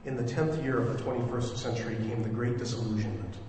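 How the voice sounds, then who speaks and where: Actor as a grave, deliberate male storyteller, mid pitch, big echoing hall. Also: fairly even intonation, a little mellow, far from the mic, lightly acted. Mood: grave, foreboding. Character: solemn male narrator of an invasion tale. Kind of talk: dramatic radio play narration. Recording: very echoey hall, faint noise.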